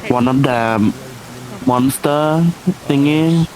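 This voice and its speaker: With rain and voices behind, a shaken soldier, acted by a man speaks at a middling pitch. The delivery is halting and unsure, in an improvised roleplay style. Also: low-quality recording. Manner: halting, fumbling for words. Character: shaken patrol soldier who escaped captivity. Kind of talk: in-character online roleplay. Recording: rain and chatter behind voice chat.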